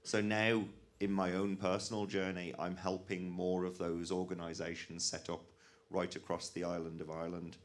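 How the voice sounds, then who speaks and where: A man's voice: sits low, little pitch movement, normal speed. A man from the West Midlands with a West Midlands English accent, telling a conference panel about his rivers work in an earnest, passionate way. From West Midlands, England. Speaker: panel speaker, rivers trust worker. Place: conference hall.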